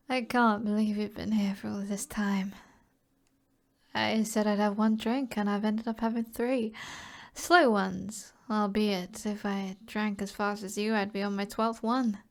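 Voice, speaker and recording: A British woman playing an alluring, flirtatious sorceress in an ASMR roleplay, in a soft breathy voice that often drops toward a whisper, with a teasing manner. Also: medium pitch, little pitch movement, slow pace, long pauses. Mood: intimate, flirty.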